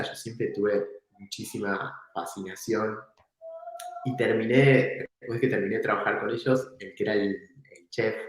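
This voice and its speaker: A man tells a story from his past in a relaxed, reflective way, laughing now and then. His voice sits slightly low. The room is very echoey and rings around him. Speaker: entrepreneur guest. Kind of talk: casual storytelling in an interview.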